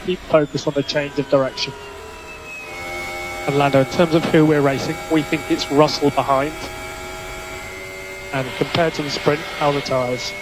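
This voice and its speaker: A man speaks over a noisy motor racing radio link as a race engineer. His delivery is clipped and measured, with short technical instructions that he often repeats. Vehicle and mechanical noise sits behind the voice. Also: fairly high pitch, fairly even intonation. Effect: team radio.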